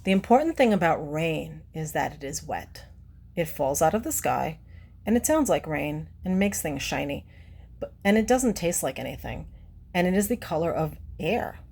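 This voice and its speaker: Low, husky woman reading to children. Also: darkish tone. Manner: asks the listener questions, explains simply. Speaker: children's read-aloud presenter. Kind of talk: picture-book read-aloud with teacherly asides to children.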